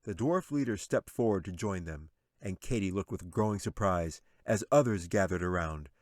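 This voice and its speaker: Deep-voiced male audiobook narrator. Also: animated, no echo, studio quality. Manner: dramatic, measured storytelling. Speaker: author reading own audiobook. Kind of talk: scripted fantasy story narration.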